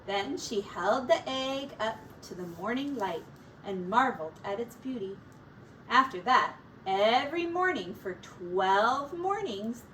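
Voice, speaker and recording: Slow, high-pitched woman reading a storybook. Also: fairly bright tone. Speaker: teacher reading a picture book aloud. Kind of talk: children's storybook read-aloud. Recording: distant mic with faint background noise.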